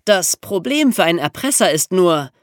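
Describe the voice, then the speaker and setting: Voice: mid-range voice, very animated, moderate pace, dry recording, studio quality. A podcast host telling a story in a colloquial, conversational way, with rhetorical questions. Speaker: podcast host telling true crime stories.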